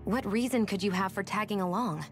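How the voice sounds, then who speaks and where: A woman's voice: mid-range voice, fairly quick, close to an everyday voice. An actress voicing a cool, suspicious young woman adventurer in a video game, speaking in a pointed, controlled and skeptical manner. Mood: challenging. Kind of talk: dramatic video game voice acting. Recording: game music under the dialogue.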